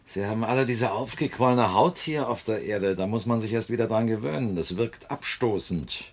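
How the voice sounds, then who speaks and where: An actor playing a cold, haughty inspector from a space city in a 1970s radio play, clipped and imperious. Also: male voice, on the low side, normal speed. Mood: cold, disdainful.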